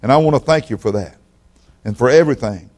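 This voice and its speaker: Older preacher, folksy and rambling. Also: male voice, medium pitch, fast, a little mellow. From Oklahoma, raised in Texas. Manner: folksy, rambling, repeats words. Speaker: guest pastor. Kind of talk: sermon.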